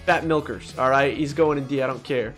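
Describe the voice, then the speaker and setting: A man's voice: medium pitch, brisk, fairly bright tone, soft background music. A young man doing casual, opinionated gaming commentary, talking in profane slang and exclaiming as he ranks things. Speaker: gaming video host. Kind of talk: casual tier-list commentary.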